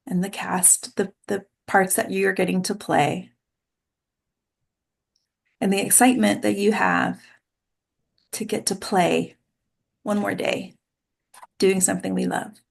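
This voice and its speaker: A woman with a creaky, mid-pitched voice hosts an online actors' group. She talks informally and encouragingly, like a coach guiding her students. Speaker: host and acting coach. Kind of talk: casual hosting of an online actors' table read. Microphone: computer mic on a video call.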